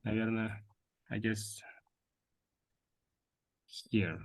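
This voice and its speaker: A man's voice: lowish voice, fairly even intonation, drawn-out words, gravelly. A man hosting an online English speaking club, hesitant, with many fillers and restarts over a video call. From Russia. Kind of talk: casual online club meeting.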